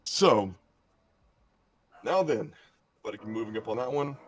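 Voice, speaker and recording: Low-voiced man telling stories. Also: expressive, measured pace, husky. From South Arkansas, USA. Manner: storytelling, self-mocking, joking. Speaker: esports caster. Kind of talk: casual banter on a stream.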